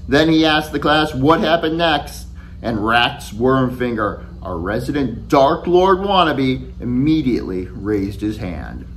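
Male author, forties, expressive storybook read, very varied intonation, chatty delivery, faint hum behind. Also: mid-range voice, measured pace, low-quality recording. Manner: animated, conversational first-person storytelling. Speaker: author reading own book aloud. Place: small indoor room at home with shelves.

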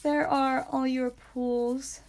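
High-voiced woman opening card packs live. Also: slow. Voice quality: calming voice. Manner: playful, says sound effects aloud. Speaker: trading card stream host. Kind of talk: live pack-opening stream chat. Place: indoor room with whiteboard.

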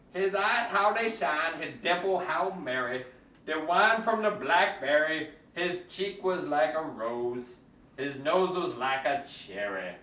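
A man performs a storybook in dialect with playful exaggeration. His pitch swings widely as he takes his time over each line. He is recorded at a distance from the mic in an echoey room. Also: bright, forceful tone. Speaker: storyteller reading a picture book aloud. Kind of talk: theatrical storybook reading in Cajun dialect.

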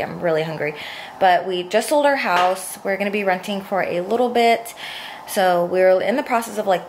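Young woman, lowish voice, a little raspy from a cold, chatty vlogger, phone held at arm's length, faint hum behind. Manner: rambling, chatty, many likes and asides. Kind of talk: casual chat. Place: home kitchen, indoors. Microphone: handheld phone camera at arm's length.

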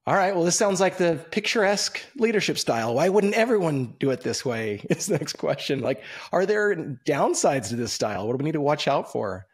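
A man in his thirties hosting an interview over a video call from a room with bookshelves, speaking in a relaxed, reflective, conversational way. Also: fairly high pitch, brisk, hardly any pauses, husky. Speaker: podcast host. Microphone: video call, webcam.

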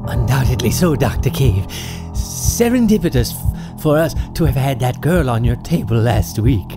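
A man's voice: medium pitch, sing-song, normal speed, music in the background. Guy doing an eager creepy lab assistant, sucking up, voice all over the place, horror drama. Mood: fawning. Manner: obsequious, wordy. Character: eager, fawning grave-robbing assistant to a mad scientist. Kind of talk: audio horror drama acting.

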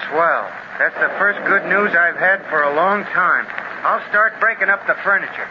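An actor playing a folksy, wisecracking young sidekick who talks in slang in a 1930s radio drama. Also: male voice, high voice, normal speed, dull audio, lightly acted. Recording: scratchy old radio transcription with hiss.

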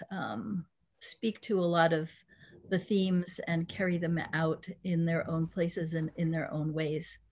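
A woman in her sixties hosting an online talk as a pastor, speaking conversationally with pauses mid-sentence over a video call. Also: fairly low pitch. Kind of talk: conversational interview introduction. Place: home room with shelves, indoors.

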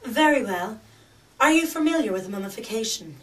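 A woman's voice: on the high side, lively intonation, moderate pace, recorded from a distance, close to an everyday voice, rough recording. A woman playing a pompous, formal forensic scientist in a comic radio drama, precise and overbearing. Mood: formal, dramatic. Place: room in a large private home.

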